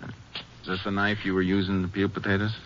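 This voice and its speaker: Courteous ranger investigator, clipped, brisk delivery, 1950s radio drama, muffled recording. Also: male voice, sits low, completely flat delivery, a bit bright, a little hiss or hum. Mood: calm questioning. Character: steady, courteous male Texas Ranger investigator narrating his case. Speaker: radio actor. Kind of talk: 1950s radio drama acting and first-person narration.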